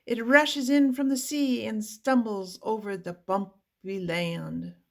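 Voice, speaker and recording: A woman reading a children's picture book aloud with deliberate, expressive phrasing for young listeners. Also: fairly high pitch, vocal fry. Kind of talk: read-aloud children's storybook narration.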